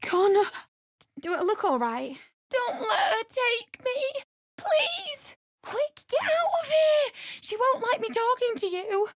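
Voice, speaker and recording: A woman's voice: very high voice, sing-song, slow pace, no echo, studio quality, heavily acted. A young woman voicing a desperate, bitter, grieving widow in a fantasy video game, emotional and blunt. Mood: pleading, grief-stricken. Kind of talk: video game character dialogue.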